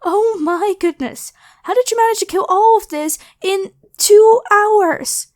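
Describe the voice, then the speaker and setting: A woman's voice: very high voice, unhurried. A woman voicing a grateful, flustered young woman who rambles and stammers apologetically in an ASMR roleplay spoken to the listener. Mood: astonished.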